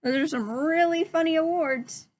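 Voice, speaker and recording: A woman's voice: extremely high pitch, normal speed. A woman teaching young children through a read-aloud, explaining things simply and putting questions to her listeners.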